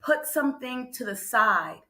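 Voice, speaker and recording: A bright, forceful female voice delivers motivational preaching in an echoey room. She teaches point by point and leans hard on repeated phrases. Now and then she turns to her listeners with a conversational aside. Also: high-pitched, lively intonation, measured pace. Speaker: motivational speaker and preacher on a morning show. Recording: roomy, echoing livestream sound.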